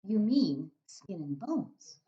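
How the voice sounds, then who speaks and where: A woman voicing a small, polite, curious young girl who speaks up high and sometimes stammers, in a storybook reading. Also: big pitch swings, warm tone, echoey room, dull audio. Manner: high, quick to apologize, hesitant stammers.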